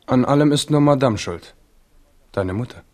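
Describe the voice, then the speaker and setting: Ein Schauspieler spielt im Hörspiel einen argwöhnischen, trotzigen jungen König, knapp im Ton und etwas heiser. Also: male voice, medium pitch, expressive, normal speed, close to an everyday voice. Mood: defiant, bitter. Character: wary, defiant young king, affectionate but mocking. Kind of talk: radio drama acting.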